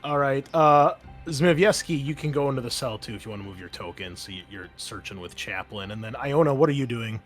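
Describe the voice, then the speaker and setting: A man's voice: mid-range voice, lively intonation, a little fast, hardly any pauses. Male game master with music underneath. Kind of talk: narrating a tabletop game, casual.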